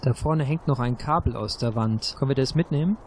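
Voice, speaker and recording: Man, contestant, rapid casual speech, polite suggestions, medium pitch. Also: slight background noise. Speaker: game contestant. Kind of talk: casual game play discussion.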